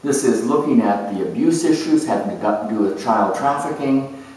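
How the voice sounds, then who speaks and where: A man performing a first-person character monologue in a deliberate, measured, theatrical way with emphatic phrasing. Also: mid-range voice, expressive, a little mellow. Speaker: astrologer dramatizing zodiac character types. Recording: echoey room, distant microphone.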